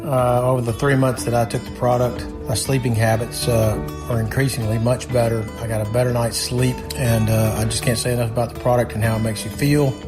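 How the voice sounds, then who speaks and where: A man's voice: medium pitch, flat delivery, a little fast, hardly any pauses. A man giving a casual, slightly hesitant product testimonial. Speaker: testimonial speaker. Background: music.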